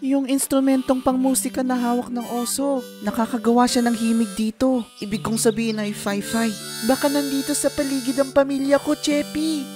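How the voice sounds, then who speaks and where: A woman voicing an anxious, inquisitive lost child in a children's fairy tale cartoon, questioning and excitable. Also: high-pitched, moderate pace, over music, lightly acted. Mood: excited.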